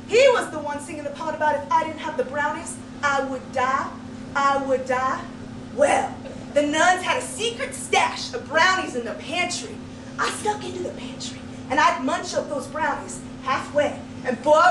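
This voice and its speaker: Very high-pitched woman storyteller, distant and echoey. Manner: dramatic, emphatic delivery with deliberate pauses; folksy storytelling turns of phrase. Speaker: competitive speech contestant telling a tall tale. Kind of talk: theatrical first-person comic tall tale storytelling. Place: indoor speech contest room, distant microphone. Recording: roomy, noisy live recording from far off.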